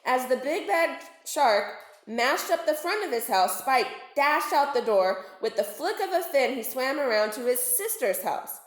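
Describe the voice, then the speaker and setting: A woman narrates a children's storybook at an unhurried pace. Her fairly high voice rises and falls expressively. The room around her is echoey. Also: expressive. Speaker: storybook reader, teacher. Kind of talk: children's read-aloud narration.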